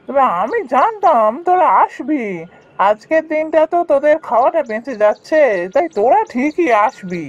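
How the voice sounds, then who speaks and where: A man voicing a haughty, well-off elder brother in a village cartoon, talking down in a loud, mocking way. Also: very high voice, big pitch swings, normal speed, dull audio. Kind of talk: cartoon voice acting.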